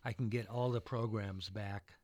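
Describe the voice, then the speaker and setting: A man's voice: on the low side, steady tone, a little mellow, no echo. A man who teaches computer classes, chatting casually on a radio show with stumbling restarts. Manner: chatty, stumbling restarts, reads contact details slowly.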